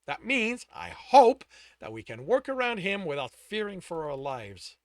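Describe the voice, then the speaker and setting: A brusque woman scientist, blunt and exasperated, clipping her words with lively rises in pitch, as a man reading puts her on. The recording is close and dry. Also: unhurried, dry recording.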